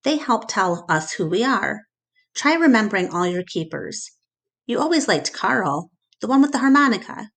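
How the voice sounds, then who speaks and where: A woman voicing a gentle, wise old female elephant in a storybook, reassuring in manner. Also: mid-range voice, big pitch swings, normal speed, pitched above the performer's own voice. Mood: gently encouraging.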